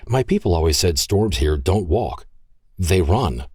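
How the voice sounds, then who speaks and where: A man's voice: low-pitched, a little slow, husky, no room sound, clean studio sound, full character voice. A man voicing a curious, teasing young woman who works as a local mountain guide and tells folksy stories. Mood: playful. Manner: lively, playful, folksy storytelling.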